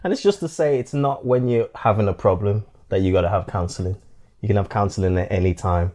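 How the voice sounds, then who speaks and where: Man, 30s, lowish voice, relaxed affirming co-host. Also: quick pace. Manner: easygoing, affirming. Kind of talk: casual chat. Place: home.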